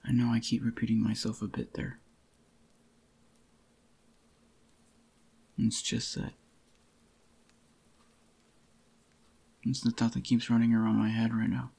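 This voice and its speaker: A man playing a doting, possessive yandere boyfriend in a soft-spoken ASMR roleplay, with a tender, intimate murmur and a husky, slightly groggy voice. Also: mid-range voice, monotone, quick pace. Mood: lovestruck.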